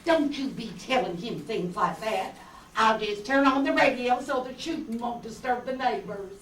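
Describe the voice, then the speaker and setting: A woman as high-voiced, scheming sweet-talking wife. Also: normal speed, close to an everyday voice. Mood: coaxing. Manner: coaxing, falsely sweet. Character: scheming, gold-digging, sweet-talking femme fatale wife. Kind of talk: amateur old-time radio play acting. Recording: echoey, distant, noisy room recording.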